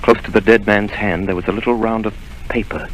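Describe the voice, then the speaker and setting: A man's voice: fairly low pitch, fairly even intonation, a bit bright, a little hiss or hum, lightly acted, low-quality recording. An actor narrating as a grown man recalling his boyhood in a 1930s radio drama. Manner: measured, grave.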